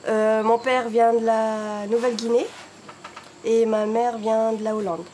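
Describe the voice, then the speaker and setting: A woman's voice: fairly high pitch, flat delivery, measured pace, dark tone, a little hiss or hum. A woman from French Guiana introducing herself in a spontaneous interview. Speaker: sales assistant interviewee. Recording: faint background ambience.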